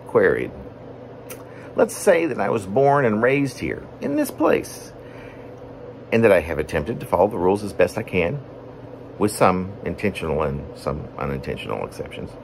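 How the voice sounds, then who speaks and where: A man reading his own poetry as a rambling, wordy monologue, deliberate and pausing mid-phrase. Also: low voice, wildly swooping pitch, gravelly, a little hiss or hum. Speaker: poet reading own work.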